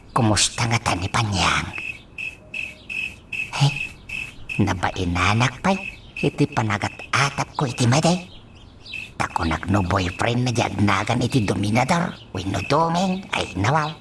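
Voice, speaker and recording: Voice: medium pitch, sing-song, slow, long pauses. An actor in an Ilocano radio drama playing a restless, lovesick person lying awake and muttering with sighs and moans, over a noisy track with clicks and insects. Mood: wistful, brooding. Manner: sighing, moaning, exclamatory muttering.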